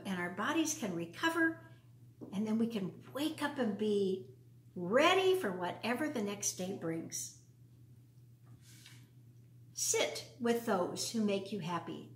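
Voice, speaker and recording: An older American woman in her sixties or beyond hosting a children's storytime, talking and reading aloud in simple, deliberate phrases for young listeners. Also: medium pitch. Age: 60s or older. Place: living room at home, indoors. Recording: roomy echo.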